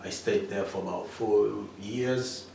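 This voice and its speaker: A middle-aged man from Tanzania speaks in a lowish voice, pausing often on fillers and restarting his sentences. He sits some way from the microphone in a very echoey room. A faint hiss runs under his voice. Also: vocal fry, a little mellow. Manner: hesitant, many fillers and restarts. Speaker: interview guest, mining engineer. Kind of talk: casual interview answers. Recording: echoey room, distant mic, faint noise.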